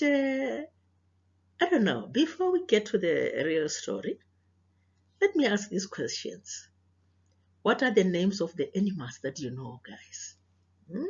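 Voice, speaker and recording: South African female teacher for children's read-aloud, husky and deliberate, muffled lo-fi sound. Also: fairly low pitch. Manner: deliberate, questioning, addressing young children.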